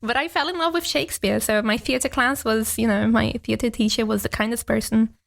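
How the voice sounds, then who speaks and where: A woman's voice: fairly high pitch, fairly even intonation, fairly quick, vocal fry, fairly bright tone. A young woman from Brazil whose first language is Portuguese, chatting reflectively as a podcast guest and laughing often. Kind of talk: casual interview chat.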